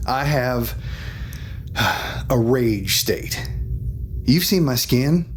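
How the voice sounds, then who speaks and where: An actor playing an older, haunted alien officer in a science fiction audio drama, speaking haltingly with sighs, music underneath. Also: male voice, mid-range voice, animated. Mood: weary, guilt-ridden. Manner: halting, sighing, confessional. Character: gruff, haunted grandfather alien cowboy officer with stone-plated skin.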